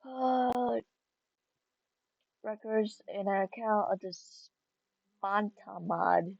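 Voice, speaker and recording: Lady streamer reading game text out loud, slow n creaky, dull mic. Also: medium pitch, no echo. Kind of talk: casual playthrough, reading game text aloud.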